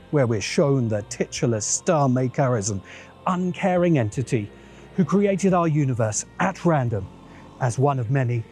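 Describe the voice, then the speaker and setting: A man's voice: medium pitch, darkish tone. A man hosting a science fiction channel, giving a scripted video essay narration in a dramatic, musing way with dry asides.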